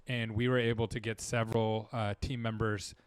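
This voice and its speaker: A man's voice: on the low side, little pitch movement, normal speed, clean tone, no room sound. A man, a dental marketing guest in a casual interview, talking earnestly with many restarts. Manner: earnest, rambling, full of restarts.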